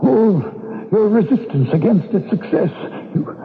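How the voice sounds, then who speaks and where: High-voiced, failing professor, echoing radio drama. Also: male voice, expressive. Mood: anguished, accusing. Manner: halting, anguished, dramatic. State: sick, weakening. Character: dying, betrayed male professor of archaeology. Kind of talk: vintage radio drama acting. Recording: tinny, echoing old radio recording.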